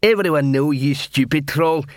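A man's voice: fairly high pitch, moderate pace, clear, resonant tone, no echo, studio quality, lightly acted. A man voicing a blunt, mocking male troll who talks in broken grammar. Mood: sneering.

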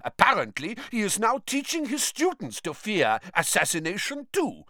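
Audiobook villain type: sneering, sarcastic man, done by a narrator, raised pitch, cold and haughty, lively intonation. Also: normal speed, dry recording, studio quality, exaggerated voice. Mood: cold mockery. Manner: mocking, sarcastic.

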